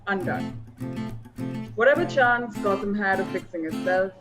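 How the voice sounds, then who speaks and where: A woman's voice: mid-range voice, a little slow, natural voice. A woman playing an earnest, grief-stricken male police commissioner, making solemn speeches in an amateur dramatic table read. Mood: grieving, defeated. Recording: loud guitar music behind the voice.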